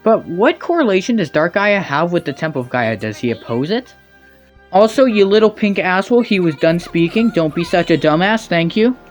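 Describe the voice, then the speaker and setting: A man with a slightly high voice delivers snarky video commentary over faint music. He picks at game cutscenes bluntly, with crude, sarcastic asides. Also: sing-song, normal speed. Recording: music behind the voice.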